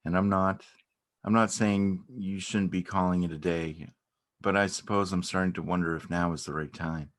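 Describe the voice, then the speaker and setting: Man acting deep-voiced, anxious, caring partner. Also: steady tone, normal speed, lightly acted. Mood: earnest, hesitant. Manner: earnest, questioning, repetitive. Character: anxious, caring man pressing his ill partner for answers. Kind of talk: dramatic reading of stage dialogue.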